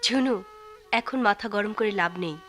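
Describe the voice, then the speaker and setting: An actress playing a devoted, resolute young elder daughter in a radio drama, speaking with earnest emotion. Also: female voice, mid-range voice, a little slow, fairly bright tone, slight background noise, natural voice. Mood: quietly resolute. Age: young adult.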